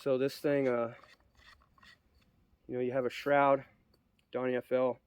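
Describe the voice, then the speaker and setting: A man's voice: medium pitch, flat delivery, unhurried, clean tone, no echo. A man with a cold, sniffly, giving a rambling casual review of an air rifle outdoors, with many ums and restarts. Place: outdoors at a shooting spot.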